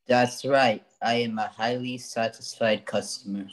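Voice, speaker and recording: Man playing a flat, deadpan shady customer. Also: medium pitch, a little slow, lightly acted. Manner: stiff deadpan. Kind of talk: comic old-time radio acting.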